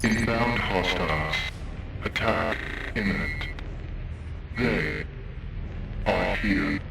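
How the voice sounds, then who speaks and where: A man's voice: low-pitched, very slow, gravelly, very noisy, heavily acted. A man voicing a grim automated containment bay announcer in a game, reciting status notices in a clipped, solemn, impersonal way. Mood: impersonal, ominous. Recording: echoey, loud music behind.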